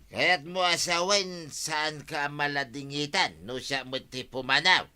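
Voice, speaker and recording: Sharp, grumpy village drunkard. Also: male voice, fairly high pitch, measured pace. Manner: indignant, argumentative. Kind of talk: comedic radio drama acting.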